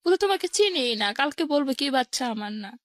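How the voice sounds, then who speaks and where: Higher-pitched woman telling her life story. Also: sing-song, fast, no echo, studio quality. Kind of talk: personal first-person storytelling in an interview.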